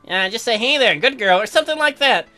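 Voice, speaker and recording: An awkward, earnest young farmer husband, read by a woman, halting and stammering through his lines. It is a visual novel character read with music behind it. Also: fairly high pitch, expressive, bright, forceful tone. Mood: earnest, patient.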